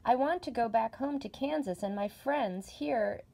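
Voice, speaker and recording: A woman's voice: unhurried, vocal fry. A woman voicing a small, meek young girl who asks politely and earnestly for help. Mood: pleading. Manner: bright, higher earnest pleading.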